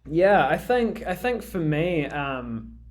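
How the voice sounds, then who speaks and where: A young man from New Zealand with a Kiwi accent, chatting casually as a musician guest on a radio interview, pausing often with ums and restarts. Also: fairly high pitch, big pitch swings. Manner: hesitant, many ums and restarts.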